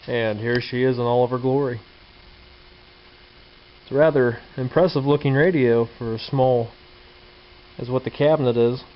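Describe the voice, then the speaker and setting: A man's voice: mid-range voice, fairly even intonation, moderate pace, long pauses. Folksy man in a dull, hissy recording. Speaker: hobbyist presenter. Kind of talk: casual hands-on show-and-tell commentary. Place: indoor home workshop or garage. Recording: dull lo-fi camcorder audio with hiss.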